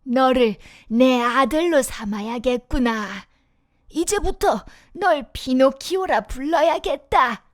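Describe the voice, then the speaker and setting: A woman doing a gentle old puppet-maker. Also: high-pitched, lively intonation, no echo, clean studio sound. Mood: tender. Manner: slow, gentle, fatherly. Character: poor kindly old man puppet-maker. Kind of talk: storybook voice acting.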